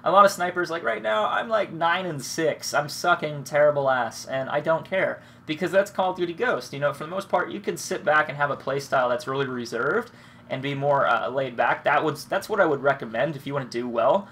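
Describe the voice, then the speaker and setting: A man's voice: a little high, a little fast, bright, forceful tone, slight background noise. A young man with a cold and a stuffed-up nose, casually rambling and swearing as he comments on his own gameplay. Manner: rambling, laid-back, swears freely. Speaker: gaming commentator.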